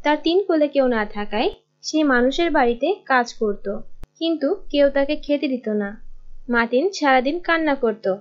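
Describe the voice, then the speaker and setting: A woman narrates an animated story from a script. Her voice is high. The recording is muffled and lo-fi. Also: moderate pace. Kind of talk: scripted children's-tale narration. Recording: dull lo-fi audio.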